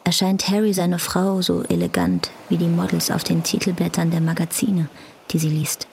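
A woman's voice: on the low side, lively intonation, normal speed, gravelly, darkish tone, a little hiss or hum. A woman reading scripted literary narration as the narrator of a radio drama. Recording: faint music behind.

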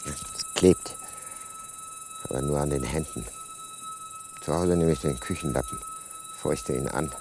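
An actor playing a scheming, outwardly polite bookseller murderer in a German crime radio play, murmuring to himself and speaking courteously to others. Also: male voice, very high voice, wildly swooping pitch, unhurried, pauses often, noisy background, heavily acted. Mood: calculating, tense. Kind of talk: German crime radio play acting, inner monologue and dialogue. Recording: radio play with music underneath.

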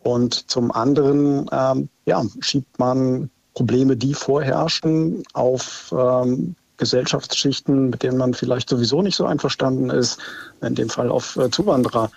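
A man calling in to a radio show, making a firm, deliberate argument with many fillers. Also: medium pitch, steady tone, vocal fry, a little mellow, dry recording. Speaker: caller. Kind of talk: phone-in opinion. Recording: dull phone line.

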